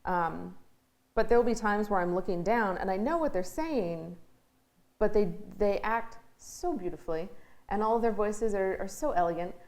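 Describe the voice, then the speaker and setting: A woman's voice: mid-range voice, normal speed, darkish tone. A woman being interviewed as part of a theatre crew, chatting casually. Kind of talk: casual chat.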